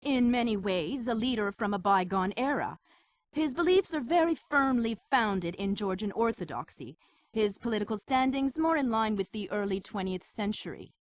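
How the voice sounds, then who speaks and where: A woman playing a brisk, assured professional in a video game cutscene. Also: on the high side, lively intonation, dry recording, close to an everyday voice.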